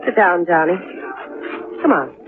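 A woman playing a tense, sharp-tongued, dramatic wife in a 1950s radio drama. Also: on the low side, expressive, measured pace, music in the background. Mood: low, coaxing. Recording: noisy, narrow-band old 1950s radio recording.

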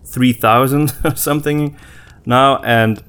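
A man co-hosting a podcast in casual conversation, reflective and often pausing to search for his words. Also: medium pitch, fairly even intonation, a bit bright, clear, resonant tone. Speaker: podcast co-host.

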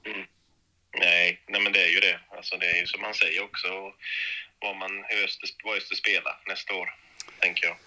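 A man co-hosting a podcast, chatting in a measured, thoughtful way in short phrases. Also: deep voice, little pitch movement, bright, forceful tone, rough recording. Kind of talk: casual chat.

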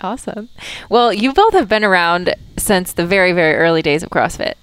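A woman's voice: fairly low pitch, animated, fairly quick, a bit bright, clear, resonant tone, rough recording. A young adult woman hosting a podcast, chatting with guests in their home with upbeat, frequent short affirmations. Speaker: podcast host. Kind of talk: casual interview chat.

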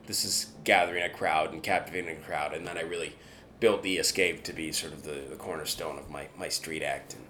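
A very deep-voiced young man from Canada chats about street performing in a relaxed interview. He gets animated, lands his points with punchy repetition and sprinkles in plenty of casual fillers. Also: brisk, slight background noise. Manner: animated, builds to emphatic points with repeats. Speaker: street performer and escape artist.

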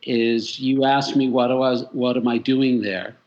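A man's voice: medium pitch, fairly even intonation, unhurried, a little mellow, muffled recording. A man from the Boston area, a guest on a talk show, speaking in a wry, joking way that turns earnest when he talks about his purpose. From metropolitan Boston. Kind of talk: casual interview talk.

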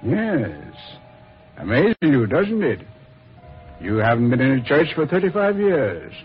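An actor playing a suave, mocking Devil in a radio horror play, with amused, courtly, sardonic phrasing. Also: male voice, medium pitch, huge pitch swings, measured pace. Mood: mocking relish. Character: suave, mocking Devil receiving a dead man. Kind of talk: old-time radio horror acting. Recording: muffled old radio recording, music behind.

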